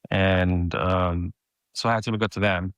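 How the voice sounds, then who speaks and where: Middle-aged male guest from Mexico, native Spanish speaker, deep voice, dry sound, stop-start storyteller. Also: fairly even intonation, a little slow, a bit bright, no echo. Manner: hesitant, repeats words and restarts often. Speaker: podcast guest. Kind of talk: personal storytelling in conversation.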